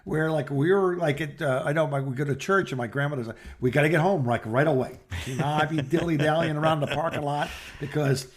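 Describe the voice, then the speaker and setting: A middle-aged man chatting casually and telling personal anecdotes in a rambling, halting way, as a podcast co-host. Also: a little high.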